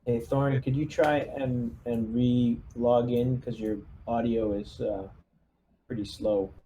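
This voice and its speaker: A man's voice: mid-range voice, fairly even intonation, slow, warm tone, low-quality recording. A man playing a squad leader in a casual online tabletop game, giving directions in an easygoing, slightly hesitant way. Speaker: player acting as squad leader. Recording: clear background noise, room ambience.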